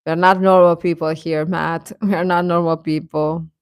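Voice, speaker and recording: A woman's voice: lowish voice, flat delivery, normal speed, smooth, no room sound, clean studio sound. A young adult woman hosting a live stream, chatting informally with her viewers and often chuckling as she talks.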